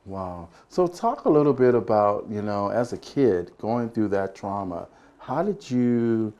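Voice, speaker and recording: A male podcast host with a low, gravelly voice and a dark, relaxed tone leads the interview casually. He nudges the guest along with encouraging questions and quick sounds of agreement. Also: lo-fi sound. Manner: encouraging, lots of affirming murmurs.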